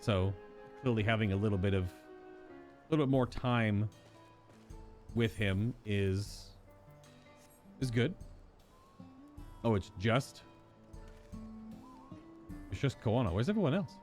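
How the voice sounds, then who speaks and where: A man's voice: fairly low pitch, animated, slow, long pauses, a little mellow. Casual man streamer over game music. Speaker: streamer reacting to a story game. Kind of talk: casual reactions and voiced reading of game dialogue.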